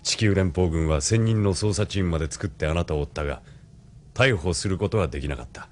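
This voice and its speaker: An actor in a Japanese audio drama playing a cool, formally polite military colonel who speaks in a measured, probing way. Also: male voice, low-pitched, close to an everyday voice.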